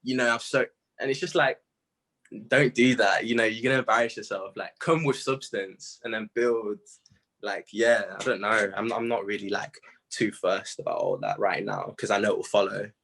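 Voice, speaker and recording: Bright-voiced young British man, low-pitched. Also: steady tone, moderate pace, vocal fry. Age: late teens. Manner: slangy, candid and self-reflective. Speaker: musician being interviewed. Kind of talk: casual interview chat.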